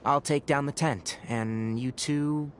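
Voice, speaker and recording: An actor playing a calm, methodical expedition leader in an anime video game, speaking in a measured, formal way. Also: male voice, mid-range voice, slight background noise, natural voice. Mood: composed.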